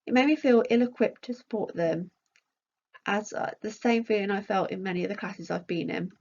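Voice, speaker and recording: A woman's voice: medium pitch, normal speed, a little mellow, muffled recording. A woman reading out a scripted academic conference presentation as a researcher.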